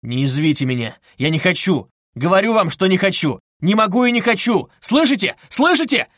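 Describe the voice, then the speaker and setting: Tormented male suspect for an audiobook, played by a male reader: proud, agitated, vehement, voice pushed up high and dry. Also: very animated, normal speed, fairly bright tone, no room sound, full character voice. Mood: frantic rage. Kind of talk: dramatized audiobook reading.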